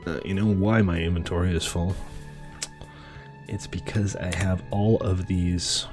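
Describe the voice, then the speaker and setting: A low-voiced man with a slightly stuffy nose talks through his game at a desk mic, easygoing and full of little fillers. Faint music plays under him, with keyboard tapping now and then. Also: fairly even intonation, unhurried. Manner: easygoing, thinking aloud with fillers. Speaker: streamer. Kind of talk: casual gameplay chat.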